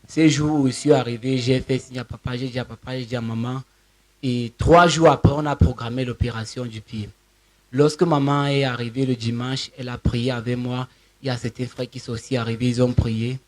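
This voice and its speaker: A man's voice: medium pitch, steady tone, a little fast, darkish tone. A man giving a spontaneous personal testimony as a church member, narrating step by step with repeated linking words.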